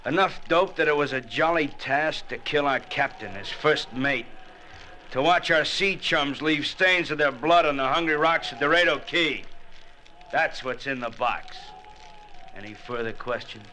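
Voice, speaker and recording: A man's voice: a little high, measured pace, sharp tone. A man playing a gloating, menacing gangster killer in an old radio drama, taunting his captives with sardonic, florid slang. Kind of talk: 1940s radio drama acting. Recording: old radio recording with faint noise.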